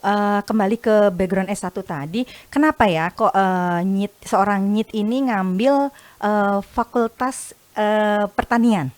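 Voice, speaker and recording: A woman's voice: medium pitch, measured pace. A woman hosting a campus podcast, interviewing her guest in a chatty, teasing way with many small hums of agreement. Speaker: podcast host.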